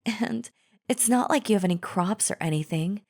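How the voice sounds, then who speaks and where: A woman's voice: mid-range voice, normal speed, darkish tone, natural voice. A woman playing a chatty female zombie in an audio roleplay, casually coaxing the listener with false friendliness and a sly, wheedling drawl. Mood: coaxing, falsely friendly. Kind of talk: scripted audio roleplay acting, addressing the listener.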